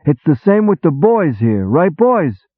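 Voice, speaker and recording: A man's voice: a little high, measured pace, no echo, voice pushed up. A man voicing a brash, sarcastic army boss who talks like a streetwise gangster. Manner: sarcastic, excitable, shouting, streetwise gangster talk. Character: loud brash middle-aged male army boss. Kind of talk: audiobook character voice. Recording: tinny phone-like sound.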